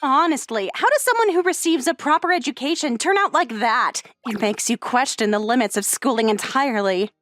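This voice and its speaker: High-pitched teenage heiress, acted by a woman, haughty, articulate, very animated, anime dub, music behind. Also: fairly quick, hardly any pauses, dry recording, studio quality. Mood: cold, scornful. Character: rich, poised high school girl, sharp-tongued, lazily demanding at home. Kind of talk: anime-style manga dub acting.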